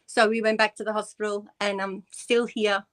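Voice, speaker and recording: Flat-voiced woman telling her story. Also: mid-range voice. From Scotland. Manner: halting, many pauses and uhs. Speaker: guest.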